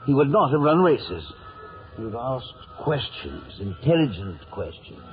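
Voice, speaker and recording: An actor playing a pompous, bookish, condescending gentleman who blusters and stammers when flustered, in 1950s radio drama style. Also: medium pitch, very animated, a little slow. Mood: pompous scolding. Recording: old radio broadcast, narrow band, music behind.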